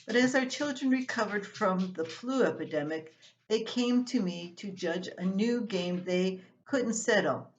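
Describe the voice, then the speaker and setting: An older woman reading a scripted, humorous family column aloud as its writer, in an expressive storytelling manner. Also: mid-range voice, unhurried, muffled recording. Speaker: columnist reading own writing.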